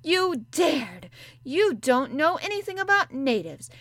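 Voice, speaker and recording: A woman's voice: sing-song, unhurried, sharp tone, dry recording, clean studio sound, exaggerated voice. A woman voicing a spoilt, haughty little girl who speaks coldly and disdainfully. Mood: furious. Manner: cold and disdainful, flaring into shrill rage.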